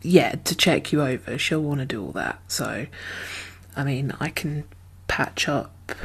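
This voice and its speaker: A woman speaking softly and often whispering in an ASMR roleplay, gentle and halting, as a guarded but caring apocalypse survivor. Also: deep voice, a little hiss or hum. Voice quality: soft, breathy, often whispered. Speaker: ASMR performer playing a role. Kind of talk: soft-spoken ASMR roleplay.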